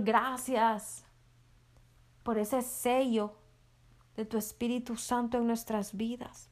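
A woman leading a fervent spoken prayer with rhythmic repeated invocations, at times dropping to a soft near-whisper. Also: high-pitched. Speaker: prayer leader.

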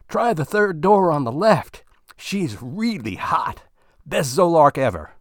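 A crude, chatty space miner with a leering, ungrammatical drawl, as a man reading the story puts him on. His voice sits noticeably higher and louder than the reader's own, and the recording is close and dry. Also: big pitch swings, measured pace, vocal fry, no echo. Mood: boastful. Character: crude talkative middle-aged male space miner.

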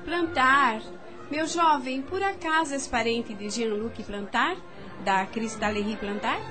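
An elegant society hostess acted by a woman speaks in a fairly high, theatrical voice over clear music. Her Brazilian-accented delivery is genteel and effusive, made for a period radio drama. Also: slow. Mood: effusive, curious.

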